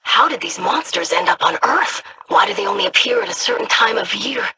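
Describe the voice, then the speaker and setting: An actress voicing a calm, scholarly woman who studies dark lore in a video game, speaking in measured, formal sentences. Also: medium pitch, background noise, lightly acted. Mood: grave.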